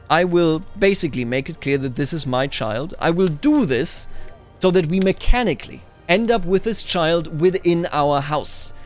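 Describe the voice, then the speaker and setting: A man hosting a game playthrough, musing aloud and reading in-game text with playful theatrical flair. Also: on the high side. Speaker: host of a let's play series.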